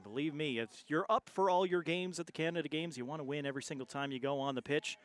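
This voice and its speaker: Male play-by-play announcer for live sport, slightly high-pitched voice. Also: fast talker. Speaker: play-by-play commentator.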